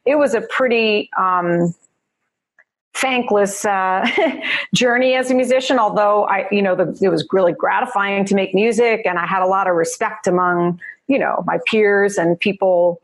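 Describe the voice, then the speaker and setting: A woman's voice: medium pitch, moderate pace. A woman with a New Jersey accent, a mindfulness teacher chatting as a podcast guest, laughing often and talking with many fillers. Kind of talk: casual podcast interview.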